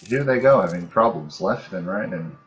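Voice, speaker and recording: A man's voice: low voice, expressive, normal speed, soft background music. A man giving casual commentary on a strategy game, thinking aloud and muttering to himself in short fragments. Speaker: gamer, host of a let's play series.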